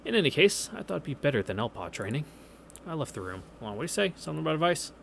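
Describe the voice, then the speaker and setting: A man's voice: a little high, big pitch swings, quick pace, vocal fry, a bit bright, slight background noise. A man hosting a visual novel read-through, reading narration aloud with wry, casual asides over game music.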